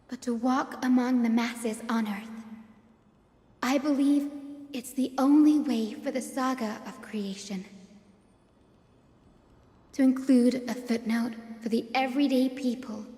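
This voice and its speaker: A woman voicing a gentle, earnest young assistant of sky-folk descent in a game story, speaking softly and sincerely. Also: high-pitched. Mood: hopeful, resolute. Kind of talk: voiced game story dialogue. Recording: echoey hall-like reverb.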